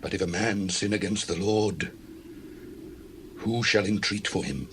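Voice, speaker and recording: An actor playing a very old, grave priest in a dramatized scripture reading, speaking slowly and solemnly. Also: male voice, on the low side, background noise, natural voice. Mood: grave rebuke. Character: very old grave Israelite priest, sorrowful father.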